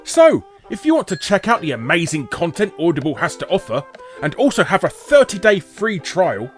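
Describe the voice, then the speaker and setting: A male host reads a scripted comedy countdown about games. His fairly high voice swoops dramatically with jokey, theatrical emphasis. Faint music plays underneath. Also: big pitch swings, moderate pace. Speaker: host of a video game list show. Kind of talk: scripted comic narration. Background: light background music.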